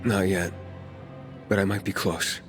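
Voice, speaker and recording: A man's voice: sits low, little pitch movement, unhurried. An actor playing a guarded, determined young male survivor in a video game, plain-spoken and natural. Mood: calm, resolute. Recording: music behind the voice.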